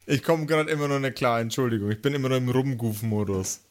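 A man's voice: medium pitch, fast talker. A man chatting casually in a crude, goofy way, dropping English slang into his talk. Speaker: podcast game master.

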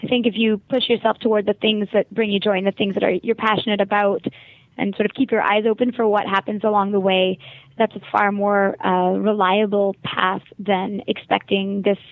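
A woman's voice: mid-range voice, flat delivery, normal speed, no echo. A woman from Montreal with a Canadian accent, a guest talking about her travels in a thoughtful, articulate way and laughing easily. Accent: Canadian English. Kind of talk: phone-in interview. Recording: tinny phone line.

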